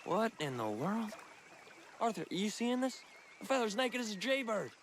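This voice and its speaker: Timid young outlaw, rural American accent, high voice, nervous, folksy, game cutscene, light outdoor background noise. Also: male voice, very animated, normal speed. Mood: astonished. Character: anxious, timid young outlaw, former stablehand, pleading. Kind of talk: video game cutscene dialogue.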